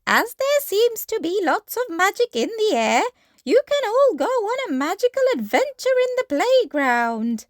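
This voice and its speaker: A woman's voice: very high voice, big pitch swings, a little slow, no room sound, studio quality, voice pushed up, exaggerated voice. A woman voicing a welcoming lady gazelle playgroup teacher who talks in an enthusiastic sing-song to small children in a storybook. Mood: bright, welcoming. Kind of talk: children's storybook read-aloud.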